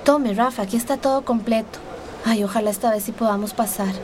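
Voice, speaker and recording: Woman playing an anxious, high-voiced migrant. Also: brisk, over music, lightly acted. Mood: anxious, doubtful. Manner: hesitant, worried. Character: anxious female migrant paying a smuggler. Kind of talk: radio drama acting. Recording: noisy outdoor ambience.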